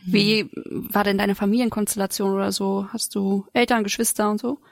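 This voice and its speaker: A woman's voice: medium pitch, level tone, fairly quick, creaky, no echo. A woman hosting a podcast in a studio, chatting casually as she interviews her guests. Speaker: podcast host.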